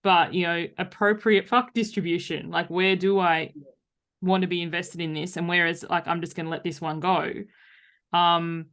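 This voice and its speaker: A woman's voice: fairly low pitch, level tone, moderate pace, a bit bright. A woman hosting a podcast, chatting casually and informally. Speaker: podcast host. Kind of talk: casual chat.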